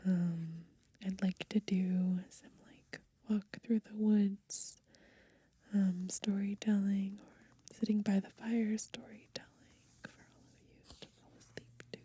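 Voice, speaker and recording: A young woman doing soft-spoken ASMR in a gentle, unhurried, breathy whisper, recorded close to the microphone outdoors. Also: medium pitch, steady tone, no room sound. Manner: gentle, unhurried, softly murmured. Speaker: ASMR video host. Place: outdoors on grass in light wind.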